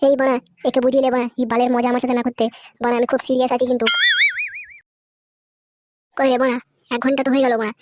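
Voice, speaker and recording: A woman's voice: on the high side, flat delivery, very fast, long pauses, very noisy, lightly acted. A woman voicing a cocky, foul-mouthed but easily spooked young man in a comedy cartoon, with an Indian Bengali accent. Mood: indignant bluster. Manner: brash, slangy, swearing constantly. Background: music.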